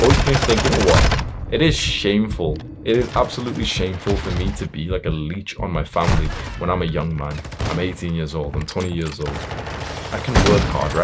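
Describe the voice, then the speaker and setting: A man's voice: deep voice, heavy background noise. A young man giving a blunt, stern motivational talk as a self-improvement coach, emphatic and swearing freely.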